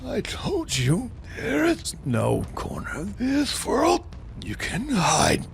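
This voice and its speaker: High, shouting menacing villain. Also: male voice, huge pitch swings, creaky, a little hiss or hum, exaggerated voice. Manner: slow, taunting, shouted threat. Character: menacing undead-like villain figure with burning eyes.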